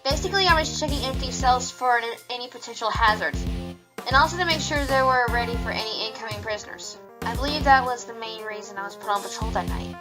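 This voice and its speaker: Woman acting a high-voiced rabbit police officer. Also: fast, over music, lightly acted. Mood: careful. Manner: careful, formal testimony. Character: earnest, defensive female rabbit police officer. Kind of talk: fan audio drama acting.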